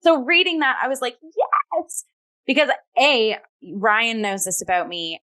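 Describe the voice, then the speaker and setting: A woman co-hosting a theatre review show, talking casually in an enthusiastic, expressive way. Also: fairly high pitch, unhurried. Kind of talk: casual chatty review talk.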